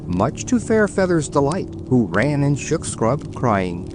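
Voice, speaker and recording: Male narrator for a bedtime tale; mid-pitched, highly expressive, dramatic read. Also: unhurried, noisy background. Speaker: storyteller. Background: music.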